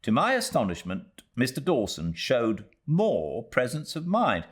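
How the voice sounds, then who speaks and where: A man with a British accent reading a classic novel aloud as an audiobook narrator, in a measured, expressive storytelling manner. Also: medium pitch, breathy edge. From Britain.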